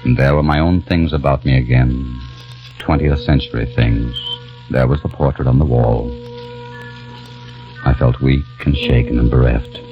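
An actor playing a grieving young man who narrates and speaks in a grave, hushed, sorrowful way in a 1940s radio drama. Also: rumbling low. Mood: sorrowful narration. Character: grieving young man haunted by lost love. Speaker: narrator and lead. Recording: dull lo-fi old radio recording with faint music.

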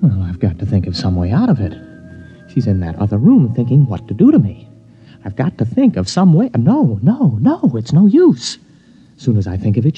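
Flustered American suitor, stammering and animated, vintage radio drama, dull muffled recording. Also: male voice, medium pitch, breathy edge. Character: anxious city man, flustered suitor cornered by a mind-reader. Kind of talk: 1940s-50s American radio drama acting.

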